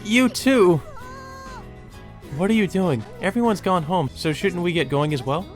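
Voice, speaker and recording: A man voicing lively, teasing teenage schoolgirls in a visual novel, bubbly and whiny. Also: high-pitched, measured pace, soft background music, pitched above the performer's own voice. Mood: exclaiming.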